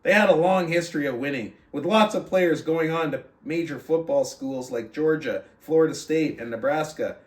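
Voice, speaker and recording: A man's voice: fairly high pitch, moderate pace, few pauses. A man hosting a book club, speaking to his audience as he shares and reads a story aloud. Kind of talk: reading a book aloud with casual commentary. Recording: roomy echo, distant from mic.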